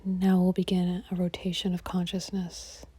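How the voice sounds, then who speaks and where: A woman guiding a relaxation meditation in a soft, breathy, half-whispered, soothing delivery. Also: fairly low pitch, monotone, normal speed, dry recording. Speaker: yoga nidra meditation guide.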